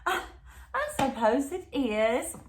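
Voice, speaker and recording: A woman's voice: high-pitched, sing-song, takes it slowly, slight background noise, pitched above the performer's own voice, full character voice. A woman voicing a sweet, easily flustered mother bear in a storybook, with gushing exclamations. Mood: delighted.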